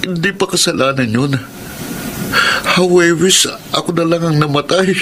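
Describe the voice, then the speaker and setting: A man's voice: fairly high pitch, moderate pace, background noise, natural voice. An actor playing a shamed, guilt-ridden man sinking into despair in a Filipino radio drama. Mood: anguished, ashamed. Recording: loud music under the voice.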